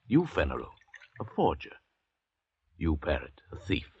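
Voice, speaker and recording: An actor playing a cultured, arrogant French doctor and murderer, speaking in a pompous, deliberate, theatrical 1940s radio style with a husky voice. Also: male voice, rumbling low, wildly swooping pitch, no room sound, full character voice. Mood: haughty, contemptuous. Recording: dull old restored radio recording.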